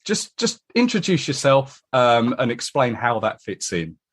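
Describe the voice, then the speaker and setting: A man speaks as a podcast host in a conversational interviewing style. His pitch is very animated, rising and falling often across his sentences. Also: unhurried, breathy edge.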